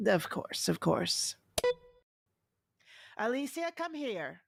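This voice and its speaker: A woman's voice: a little high, big pitch swings, slow, creaky, faint music behind, exaggerated voice. A woman playing an imperious, scheming vampire superior in an audio drama, cold, commanding and disdainful.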